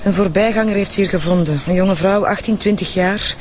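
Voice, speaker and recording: A Flemish actress playing a frank, careful forensic pathologist in a radio drama, explaining in a familiar way. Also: female voice, mid-range voice, a little fast, a little mellow, a little hiss or hum, close to an everyday voice. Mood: clinical. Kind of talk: naturalistic radio drama dialogue.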